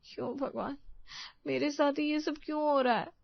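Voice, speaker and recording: Woman doing a fed-up young bahu, high plaintive voice, dry muffled recording. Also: fairly even intonation, moderate pace, gravelly, dry recording. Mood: despairing. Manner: plaintive, protesting. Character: overworked, aggrieved young daughter-in-law, pleading. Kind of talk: Hindi family drama storytelling.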